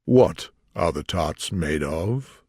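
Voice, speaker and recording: A man's voice: sits low, animated, takes it slowly, a little mellow, husky, no echo, studio quality, voice pushed down, full character voice. A man voicing a pompous, anxious storybook King presiding as judge, with a grave, emphatic and ponderous manner. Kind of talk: storybook character voice.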